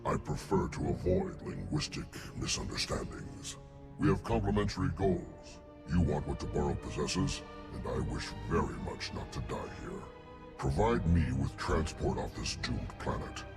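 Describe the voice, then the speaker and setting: Casting: huge conceited slug-alien genius in a game, bottomless gravelly bass, pompous and condescending. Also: male voice, expressive, normal speed, exaggerated voice. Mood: haughty. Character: haughty male Hutt scientist, huge slug-like alien genius. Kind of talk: video game cutscene acting. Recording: music playing behind.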